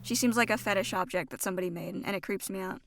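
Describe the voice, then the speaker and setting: A woman's voice: medium pitch, quick pace, vocal fry, fairly bright tone. A woman co-hosting a podcast, giving blunt, opinionated remarks in casual conversation. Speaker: podcast host. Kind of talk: casual chat.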